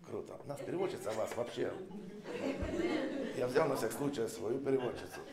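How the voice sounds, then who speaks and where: A man whose first language is not Russian, preaching as a guest in short phrases and pausing for an interpreter. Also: mid-range voice, echoey room, over a noisy crowd. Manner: pauses for interpreter, sings snatches of a hymn. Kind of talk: sermon with live interpretation. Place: church hall.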